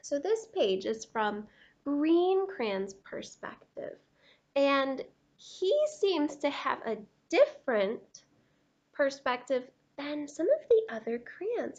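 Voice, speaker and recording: A woman gives an expressive read-aloud for children. Her voice is high and lilting, and she takes her time, on a slightly dull recording. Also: takes it slowly. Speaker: teacher reading a picture book aloud.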